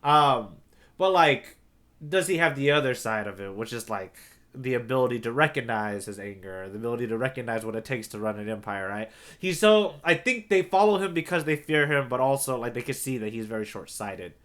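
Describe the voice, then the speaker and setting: A man with a mid-pitched voice that swings widely in intonation. He is a podcast co-host chatting casually. His manner is analytical, working through points out loud and posing questions as he goes. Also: lively intonation, a little fast. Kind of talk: casual discussion of a manga.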